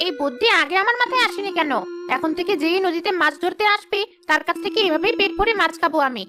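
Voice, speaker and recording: A woman voicing a greedy, sly female river ghost who schemes aloud in an animated folk tale. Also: extremely high pitch, quick pace, music in the background. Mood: smug, musing. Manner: scheming, talking to herself.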